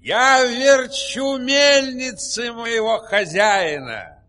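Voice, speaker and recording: An actor playing a polite, eager fairy-tale windmill blower in a ceremonious, theatrical audio-play manner. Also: male voice, extremely high pitch, extremely slow, sharp tone, full character voice. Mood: polite, proud.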